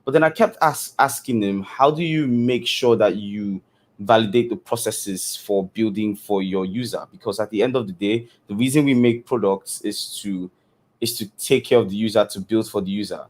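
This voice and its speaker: A man talks through an online conference keynote in a low, easy voice. He speaks casually and loosely, with plenty of "um" and "like". It sounds like a computer mic on a video call. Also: normal speed. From Nigeria. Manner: casual, loose, many fillers.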